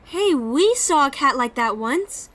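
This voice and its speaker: An actress playing an excitable young twin who reacts quickly to everything. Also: female voice, high voice.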